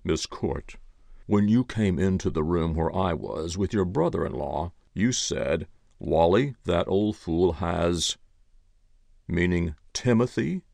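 A man's voice: low voice, lively intonation, slow pace, creaky, darkish tone, no echo, heavily acted. A man voicing a cool, laconic investigator in an audiobook, terse and wry. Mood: probing. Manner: terse, mildly complaining, wry. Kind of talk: audiobook character voice.

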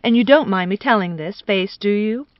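A woman's voice: medium pitch, moderate pace, no echo, muffled recording, natural voice. A woman voicing a jocular, monocled upper-class husband in a storybook, affected and drily playful. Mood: amused.

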